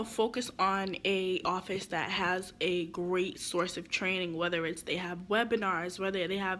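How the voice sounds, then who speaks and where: A woman's voice: mid-range voice, a bit bright. Young woman vlogging tips, kinda stuffy cuz she's sick, rambly and chatty, faint hiss behind. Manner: chatty, rambling with many fillers. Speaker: real estate vlogger. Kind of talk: casual advice to camera.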